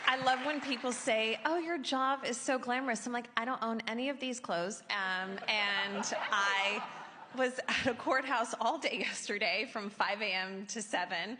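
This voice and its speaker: A woman's voice: fairly high pitch, normal speed, crowd noise, noisy background. A woman moderating a panel on stage in a chatty, conversational style. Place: large conference hall stage. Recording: echoey hall with long reverb.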